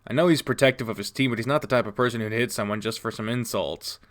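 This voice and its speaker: A man reading a visual novel game aloud, casual, jumping to high squeaks when surprised. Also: fast talker, a bit bright. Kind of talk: reading game text aloud with jokes aside.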